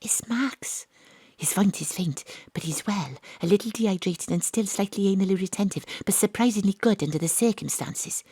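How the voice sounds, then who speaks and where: A hard-boiled female private eye telling her own case in an audio drama. Her voice is husky and breathy, recorded close and dry. She is confiding and theatrical, with deadpan noir humor. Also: mid-range voice, moderate pace, dry recording. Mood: confiding, suspenseful. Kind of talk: first-person audio drama narration. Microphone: close studio mic, home studio.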